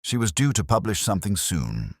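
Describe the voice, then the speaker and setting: A man's voice: on the low side, very animated, fairly quick, no room sound, clean studio sound, pitched above the performer's own voice. A man voicing a vain, tipsy male professor in an audio detective story, murmuring and insinuating. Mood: sly, cynical.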